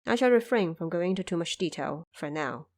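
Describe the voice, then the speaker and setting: A woman's voice: lowish voice, lively intonation, brisk, pitched below the performer's own voice. An earnest court minister, played by a woman speaks the words of his letter in an audio drama. He is formal, reverent and self-effacing, as if pleading with an elder. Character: living male court minister, humble, earnest letter writer.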